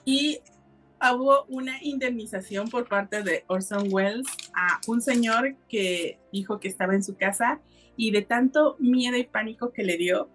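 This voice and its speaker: A woman's voice: on the high side, measured pace, faint music behind, low-quality recording. A woman with a Mexican accent hosting a podcast, telling a story in a casual, colloquial and explanatory way. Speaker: podcast host.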